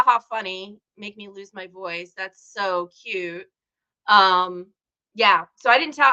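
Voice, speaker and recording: A bright, chatty woman hosts a casual live stream and talks straight to her viewers, greeting them by name and rambling from one story to the next. The audio is slightly muffled, like a home streaming setup. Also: mid-range voice. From Chicago area. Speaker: livestream host.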